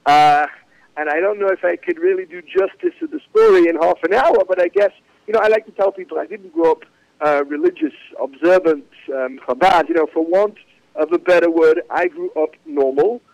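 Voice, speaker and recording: Gravelly rabbi guest, dry sound. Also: male voice, on the high side, very animated, a little mellow, no echo, poor audio. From Manchester, England. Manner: reflective, pauses often with "uh, you know". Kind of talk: casual podcast interview.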